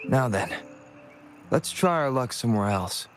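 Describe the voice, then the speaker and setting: A man playing a calm, wry young adventurer in a video game. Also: medium pitch, unhurried, a little hiss or hum, lightly acted.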